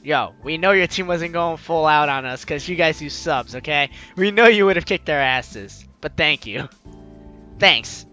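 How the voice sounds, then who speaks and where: A man playing a game and reading its dialogue aloud, animated with joking asides. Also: on the high side, a little slow. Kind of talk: let's play narration. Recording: game music behind.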